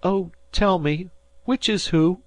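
A man's voice: fairly high pitch, wildly swooping pitch, a little slow, creaky, breathy edge, no room sound, voice pushed up, exaggerated voice. A man voicing a wealthy mother who is tearful and grateful, in a raised pleading voice.

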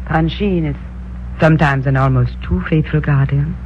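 A woman's voice: deep voice, normal speed, noisy background, close to an everyday voice. A woman playing an imperious, aloof queen. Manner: commanding, aloof. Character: haughty young queen, imperious and cool. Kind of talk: 1950s radio drama acting. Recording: dull lo-fi old radio recording.

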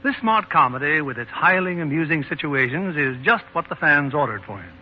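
A man's voice: on the high side, expressive, fairly quick, slight background noise. A man hosting a 1940s radio show, presenting and narrating in a formal, polished, scripted manner. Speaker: host and producer. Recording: dull 1940s radio broadcast with music.

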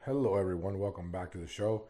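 Mellow-voiced man hosting a solo podcast. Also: low-pitched, quick pace. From Arizona, United States. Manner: rambling, repeats words often. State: recovering from lost voice. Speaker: podcast host. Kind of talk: casual solo podcast talk.

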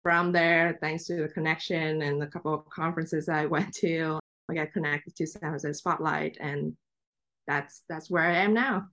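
Low-voiced young woman from Vietnam, chatty. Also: fairly even intonation, normal speed, a bit bright. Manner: chatty, reflective, frequent light laughs. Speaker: guest journalist. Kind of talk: casual interview talk.

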